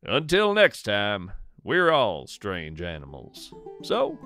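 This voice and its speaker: A man's voice: fairly low pitch, wildly swooping pitch, takes it slowly, bright, forceful tone, soft background music, exaggerated voice. A man playing a whimsical, earnest naturalist host in a scripted fiction podcast, with a quirky, wondering manner. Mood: warm wonder. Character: earnest, whimsical male naturalist radio host of strange creatures.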